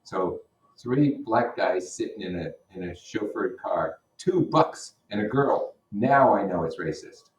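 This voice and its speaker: Low-voiced male teacher in an echoey room. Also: animated, unhurried. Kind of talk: reading a novel aloud with informal commentary. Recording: roomy echo, distant microphone.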